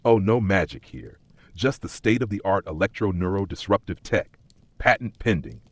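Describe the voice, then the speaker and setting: A man voicing a mischievous, cryptic magical pub keeper in a dark fantasy audio story, teasing and speaking in playful riddles. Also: low-pitched, lively intonation, moderate pace, no room sound. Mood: smooth, cryptic.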